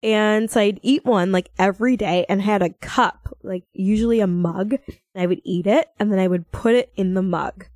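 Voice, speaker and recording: A woman's voice: mid-range voice, normal speed, a little mellow, no echo, clean studio sound. A young American woman from Minnesota in casual chat, rambling playfully with a giggly manner. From Minnesota, United States. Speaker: podcast co-host.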